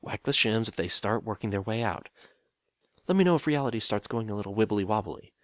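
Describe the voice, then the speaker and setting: A man's voice: on the low side, animated, a little fast, a bit bright, no room sound. A man voicing a practical, easygoing male handyman pony who talks in a casual, wry and matter-of-fact way.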